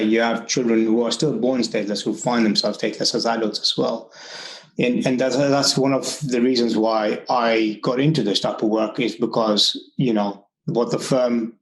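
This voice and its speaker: Young Kenyan-born man, mixed Kenyan-British accent, earnest and halting, in an echoey room. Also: medium pitch. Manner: earnest, many restarts and fillers. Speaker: immigration consultant. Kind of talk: conversational interview.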